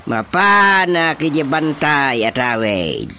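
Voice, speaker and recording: A man with a Filipino Ilocano accent playing an eager, talkative, comically plaintive rustic young man in a radio drama, with drawn-out exclamations. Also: on the high side, big pitch swings, bright, forceful tone, slight background noise, exaggerated voice. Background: music.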